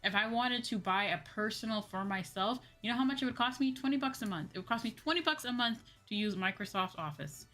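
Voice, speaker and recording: A woman's voice: fairly high pitch, animated, fast talker, few pauses, bright, forceful tone, soft background music. A woman livestreamer chatting casually and ranting in a dramatic, exasperated way, repeating phrases for emphasis. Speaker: livestreaming VTuber host.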